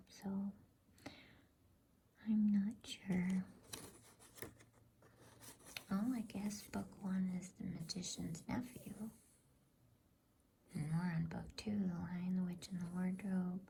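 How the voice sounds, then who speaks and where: A woman whispers softly and breathily in a gentle, informal, unhurried way, hosting an ASMR bedtime reading. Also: mid-range voice, level tone, gravelly, slight background noise. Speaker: bedtime story reader and host.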